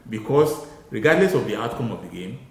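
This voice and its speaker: A man's voice: mid-range voice, sing-song, brisk, a little mellow, roomy sound. A middle-aged Nigerian man giving opinions as a football pundit in a studio, talking emphatically and repeating his key points. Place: small TV studio with glass-block wall. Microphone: lapel.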